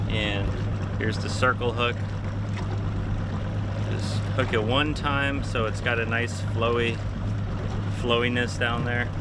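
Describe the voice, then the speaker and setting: A man hosting a fishing show, giving playful, upbeat commentary from a small boat offshore. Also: mid-range voice. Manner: playful, jokey banter with the fish. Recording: boat and wind noise outdoors. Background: boat engine and water noise.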